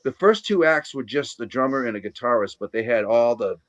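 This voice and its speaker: A man's voice: mid-range voice, animated, fairly quick, dull audio. A middle-aged man from the Boston area with a Boston accent, a guest in a casual chat who rambles with many restarts and fillers. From Boston area, Massachusetts.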